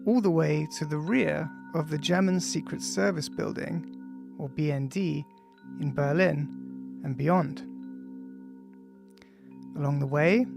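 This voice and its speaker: A man, the author, introducing and reading his own audiobook, speaking casually with frequent ums and pauses. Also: on the high side, creaky. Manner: casual, hesitant, many ums between phrases. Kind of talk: audiobook introduction, loose spoken then read text. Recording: music playing faintly behind.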